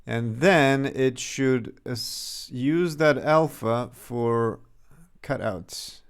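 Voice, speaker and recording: A young developer from Serbia talks through his work, his light Serbian accent coming through. He speaks slowly, thinking aloud and chuckling now and then. His voice is smooth and clear, picked up at a desk mic in a home workspace. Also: medium pitch. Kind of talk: casual livestream commentary.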